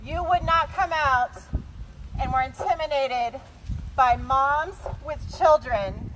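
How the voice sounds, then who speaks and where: A woman's voice: high voice, takes it slowly. A woman from North Carolina giving an impassioned, accusatory protest speech through a bullhorn outdoors. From North Carolina, United States. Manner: insistent, accusatory. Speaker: protest speaker. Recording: noisy outdoor bullhorn recording.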